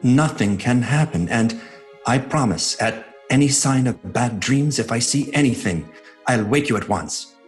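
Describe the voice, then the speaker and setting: A man playing an earnest, devoted doctor, narrating in a measured, sombre way in a horror audio drama. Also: mid-range voice, expressive, faint music behind, lightly acted. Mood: tender, reassuring.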